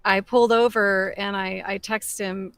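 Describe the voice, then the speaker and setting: Halting woman musician in an interview. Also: medium pitch, steady tone, clear, resonant tone, dry recording. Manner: warm, halting, full of fillers. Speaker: band member. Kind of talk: casual interview answers.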